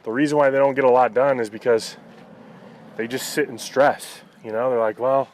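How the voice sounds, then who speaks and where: A man's voice: mid-range voice, steady tone, moderate pace. A man vlogging in a casual, conversational and reflective way, talking to viewers and often checking in with "you know". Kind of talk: casual personal talk to viewers.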